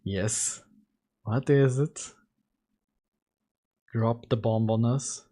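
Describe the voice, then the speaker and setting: A man streaming live, chatting with his audience in an easy, unhurried way at an ordinary middle pitch. He laughs often and teases his viewers as he talks into a desk microphone. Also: mellow. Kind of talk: casual live-stream chat.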